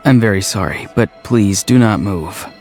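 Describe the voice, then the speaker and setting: A soft-spoken, mystical young man from a video game, played by an actor, with a fairly low voice. He speaks deliberately and politely in an apologetic way, with faint music underneath. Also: close to an everyday voice. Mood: apologetic, calm.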